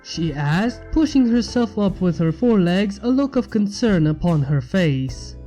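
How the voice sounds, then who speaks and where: A woman narrates a story from a script in a mellow, relaxed voice of medium pitch. Faint music plays behind her reading. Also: a little slow. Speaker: fan fiction reader.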